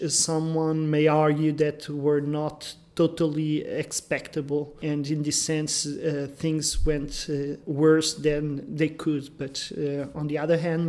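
Man, Portuguese accent, economist, slightly raised flat voice, hesitant, office interview. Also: a little high. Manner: hesitant, many fillers and restarts. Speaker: economist guest. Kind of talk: interview answers.

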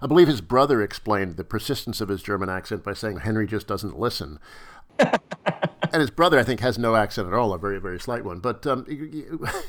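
A man's voice: fairly low pitch, lively intonation, brisk. A man interviewing in a conversational style, wry and tentative, stammering slightly as he forms his remarks and questions.

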